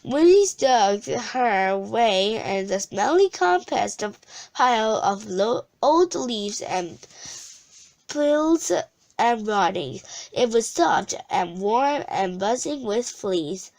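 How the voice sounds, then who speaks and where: Female storybook reader, slow and halting with stumbles, lively high pitch. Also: low-quality recording. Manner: halting, often misreads and restarts words. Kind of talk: reading a children's storybook aloud.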